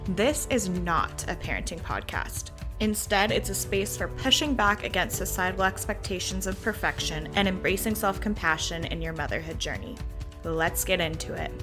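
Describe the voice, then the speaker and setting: A woman's voice: fairly low pitch, a bit bright. A woman hosting a podcast in a conversational interview style. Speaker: podcast host.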